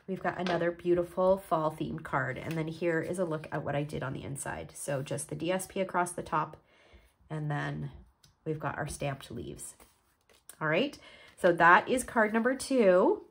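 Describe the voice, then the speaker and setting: A woman's voice: sits low, normal speed, a bit bright, slightly rough. A woman from Canada explaining a card-making project step by step while she works, a little stuffed up from a cold. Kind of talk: crafting tutorial.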